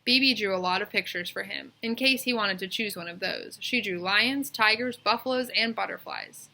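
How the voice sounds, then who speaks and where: A woman reading a children's story aloud as a storyteller, in an expressive, animated way that suits acting out dialogue. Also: mid-range voice, normal speed, gravelly, a little hiss or hum.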